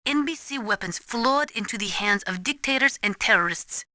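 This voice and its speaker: A woman playing an earnest, resolute Ukrainian weapons analyst in a video game, speaking gravely and deliberately as if lecturing. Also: a little high, expressive, pushed and bright, no echo, studio quality. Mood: grave, impassioned. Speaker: military advisor briefing a soldier. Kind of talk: dramatic video game voice acting.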